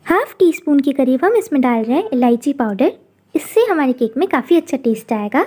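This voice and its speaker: Cooking host, woman, high mellow voice, calm step-by-step recipe voice-over. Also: a little fast. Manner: calm instructional narration.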